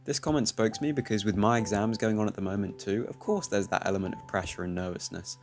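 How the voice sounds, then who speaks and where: A teenage man speaking calmly and reflectively, commenting over his gameplay. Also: fairly low pitch, quick pace. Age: teens. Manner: soft-spoken, reflective. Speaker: YouTuber. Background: faint music.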